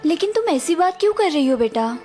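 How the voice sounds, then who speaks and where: A woman voicing an older village mother who gives calm, patient advice to her daughter. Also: very high-pitched, a little fast, faint music behind. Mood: concerned.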